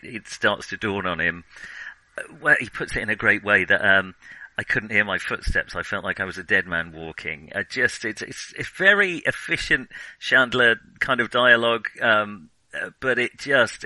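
Bright-voiced man chatting about film. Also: fairly low pitch, no echo, rough recording. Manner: rambling, many restarts and ums. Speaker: podcast co-host. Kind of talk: casual film discussion.

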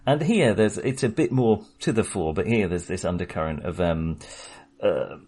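A man co-hosting a podcast, chatting about a film in a wry, animated way. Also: low-pitched, moderate pace, a little hiss or hum. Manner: chatty, wry, animated when making a point. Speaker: podcast co-host. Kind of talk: casual film discussion.

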